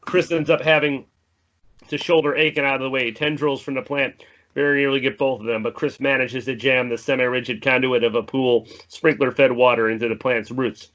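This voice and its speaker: A man's voice: mid-range voice, fairly even intonation, a little fast, a bit bright, dull audio. A man reading a screenplay's stage directions as dramatic, descriptive scripted narration.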